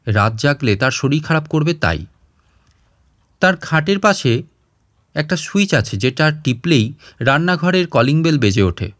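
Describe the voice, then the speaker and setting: A man's voice: a little high, sing-song, a little slow, pauses often, a bit bright, dry recording, studio quality. A man reading a literary short story aloud as a storyteller, in audiobook style.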